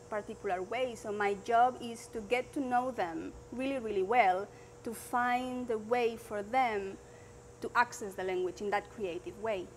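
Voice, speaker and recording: Woman teacher, slightly high voice, animated and warm about students. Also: slight background noise, poor audio. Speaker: language teacher. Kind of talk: conversational interview answers.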